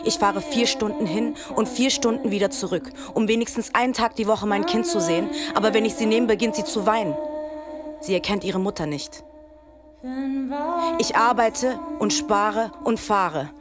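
Actress as tired young migrant mother in a radio drama, speaking in quiet prayers over a music bed. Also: mid-range voice, moderate pace, close to an everyday voice. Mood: weary. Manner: confiding, prayer-like address. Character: young female migrant worker, weary mother.

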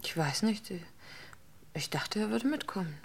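An actress playing a guarded, subdued wife under questioning in a German radio crime drama, terse, reserved and measured. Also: female voice, medium pitch, big pitch swings, gravelly, slightly rough, exaggerated voice.

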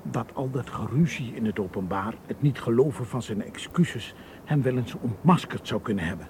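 A man's voice: medium pitch, a little mellow, natural voice. Man acting a concerned, level-headed tour guide, noisy recording. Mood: grave, explaining. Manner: measured, careful, reassuring. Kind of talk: radio drama acting. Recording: noisy old radio play recording.

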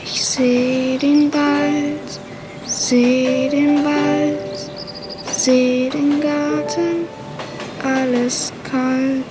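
A woman sings high and very slowly in a radio drama. The line is flat and lullaby-like, with loud music all around it. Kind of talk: slow, lullaby-like singing in a radio play.

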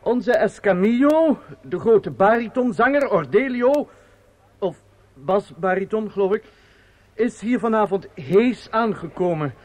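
Flustered, pompous impresario, swooping high. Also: male voice, animated, a little slow, a little mellow, no echo. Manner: hesitant and muttering offstage, pompous in speeches. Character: flustered, self-satisfied male opera impresario. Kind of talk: radio drama acting.

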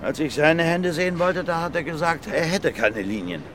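Actor as a blunt middle-aged brawler, swinging intonation. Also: male voice, fairly high pitch, lively intonation, moderate pace, over music, lightly acted. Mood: defiant, stubborn. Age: 50s. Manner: blunt, plain-spoken, repeating himself. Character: gruff, blunt, self-confessed violent brawler in his fifties. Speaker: suspect being questioned. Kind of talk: radio drama acting.

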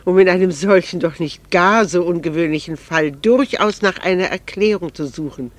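An actress in a German radio drama playing a proud, candid, melancholy married woman, speaking in a measured, formal and earnest way. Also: lowish voice, dry recording, close to an everyday voice. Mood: candid, wistful.

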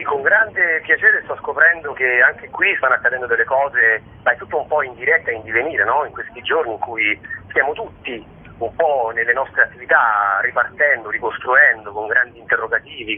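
A man speaks as a guest in a radio interview, his voice husky and slightly clouded. He talks in an expressive, discursive storyteller's way, turning to rhetorical asides. The sound is narrow and thin, like a telephone call on air. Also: mid-range voice, normal speed. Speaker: guest, stage actor and storyteller.

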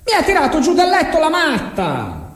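An actress playing a loud, complaining villager roused from bed, speaking with an Emilia-Romagna accent in theatrical dialect comedy. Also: female voice, high-pitched, wildly swooping pitch, moderate pace, echoey room, heavily acted. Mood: grumbling, amused. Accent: northern Italian, Emilia-Romagna.

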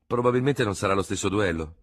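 Brief: man doing a snappy, urgent young officer, husky and loud. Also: on the low side, fast talker, pitched above the performer's own voice, lightly acted. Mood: curt, urgent. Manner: terse, irritated. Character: curt, rattled young male army lieutenant.

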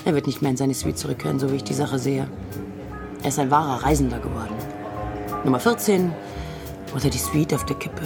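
A woman's voice: extremely low pitch, a little fast, creaky, long pauses. A woman delivering scripted narration for a radio production. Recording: music loud behind the voice.

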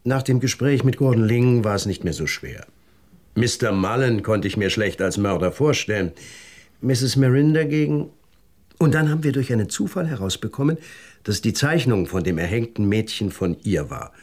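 An actor in a German radio play voicing a shrewd, relentless police detective with a formal, insistent manner. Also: male voice, fairly low pitch, expressive, moderate pace, a little mellow. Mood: conciliatory, explaining.

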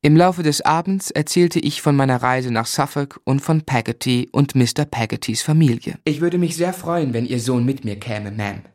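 A man's voice: medium pitch, normal speed, few pauses, darkish tone, no echo, clean studio sound, natural voice. An actor playing an earnest, polite, well-spoken young man who also narrates his life in a German radio play.

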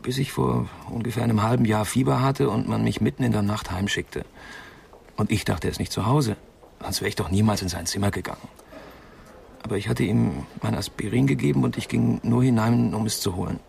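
An actor playing a troubled young man giving a halting, confessional account in a German radio crime drama, with a creaky voice. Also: on the low side, darkish tone. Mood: subdued, confessional. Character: distressed young man, witness worried about his sick friend. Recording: faint background noise and ambience.

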